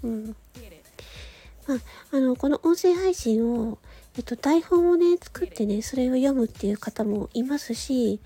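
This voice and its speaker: A woman hosts her own casual audio show. Her voice sits on the high side, with a gentle, dark-soft tone and a breathy, husky edge. She talks as if thinking aloud, conversationally and without a script. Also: lively intonation, measured pace. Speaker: host of a solo audio show. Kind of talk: casual monologue on a chosen theme.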